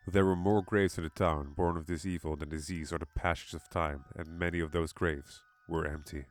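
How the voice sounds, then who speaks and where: A man reading a scripted horror story as its narrator. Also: low-pitched.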